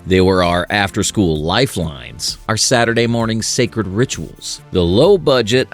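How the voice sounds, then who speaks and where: Middle-aged American male narrator, lowish pitch, for a slangy countdown; punchy, playful delivery over soft background music. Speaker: host of a nostalgia video channel.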